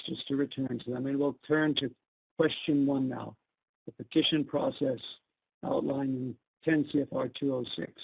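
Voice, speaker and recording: A man's voice: mid-range voice, vocal fry, warm tone, breathy edge, low-quality recording. A man with a Brooklyn accent presenting slides at a public meeting, explaining each picture step by step. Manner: explains slides step by step, asks for plain terms.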